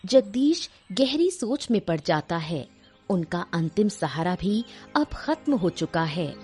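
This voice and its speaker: A woman's voice: fairly low pitch, very animated, takes it slowly, darkish tone, breathy edge, faint music behind. A woman narrating a scripted story.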